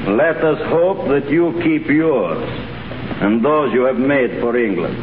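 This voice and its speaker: An actor playing a stern Russian commission official in a 1930s radio drama, slow and measured. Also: male voice, mid-range voice, animated. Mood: cold. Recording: echoey, tinny hissy 1930s radio broadcast.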